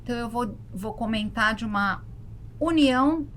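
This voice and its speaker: A Brazilian woman with a fairly high voice talks as a podcast guest. She explains things casually and repeats words for emphasis, with some faint noise behind her. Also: normal speed, a bit bright. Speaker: podcast guest, travel content creator.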